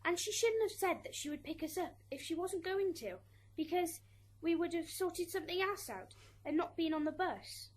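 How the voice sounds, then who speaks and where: A woman's voice: very high-pitched, animated, normal speed. A woman acting a resentful, self-justifying schoolgirl daughter in an amateur radio drama. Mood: sullen. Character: resentful schoolgirl daughter testifying in afterlife court. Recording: hissy amateur recording, background noise.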